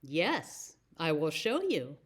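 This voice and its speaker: A woman's voice: low-pitched, huge pitch swings, takes it slowly, breathy edge, heavily acted. A woman voicing a wise, kindly bird who reassures and encourages in a children's picture book. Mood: reassuring.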